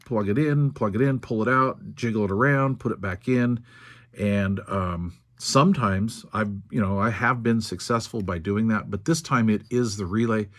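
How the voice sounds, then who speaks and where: Low-pitched older American man, folksy. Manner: folksy, unhurried, thinking aloud. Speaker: radio repair video host. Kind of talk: casual hands-on repair narration.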